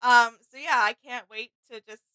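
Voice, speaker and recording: Female co-host for casual reactions, high bright voice, chatty and enthusiastic. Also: steady tone, moderate pace, clean tone, low-quality recording. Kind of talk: casual chat.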